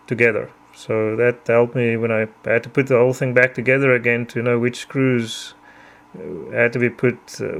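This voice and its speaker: A middle-aged man whose first language is Afrikaans, narrating a repair tutorial in a measured, careful way with frequent ums. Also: mid-range voice, creaky. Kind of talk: explanatory voice-over.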